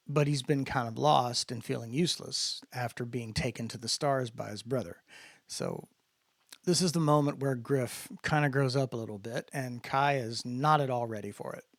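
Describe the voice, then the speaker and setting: A man with a mid-range voice presents a story in an expressive, dramatic reading style. The sound is dry, with hardly any room in it. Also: medium pitch, no room sound. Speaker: narrator reading own book aloud. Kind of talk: casual introduction, then dramatic audiobook-style reading.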